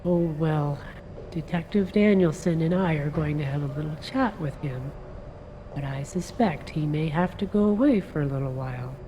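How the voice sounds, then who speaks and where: An actress playing a patient female police detective who questions a suspect in a calm, coaxing, firm manner in an audio drama. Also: deep voice, moderate pace, dull audio, background noise, lightly acted. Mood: reassuring.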